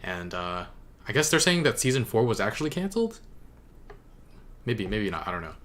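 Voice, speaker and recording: A man hosting a reaction video, giving casual commentary in a halting way as he thinks aloud. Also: fairly low pitch, very animated, fairly bright tone, a little hiss or hum.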